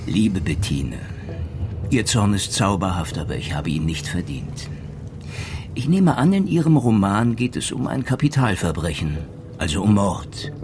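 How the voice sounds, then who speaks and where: An actor playing a calm, courteous former police lieutenant in a German radio drama, measured and gently ironic. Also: male voice, low-pitched, gravelly, background noise, heavily acted.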